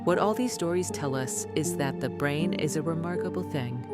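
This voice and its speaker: A woman acting as a self-assured medical expert in a radio drama. Her voice is notably low, with music underneath. She is clinical, condescending and dismissive. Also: normal speed, lightly acted. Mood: lecturing. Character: smug, dismissive female doctor and skeptic author. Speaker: doctor, expert guest.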